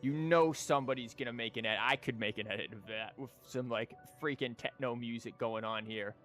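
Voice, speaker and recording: A man gives casual live commentary as he plays a game. His voice is mid-pitched, and he talks in slangy, sweary, joking bursts. Music plays faintly in the background. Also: fairly quick, a bit bright.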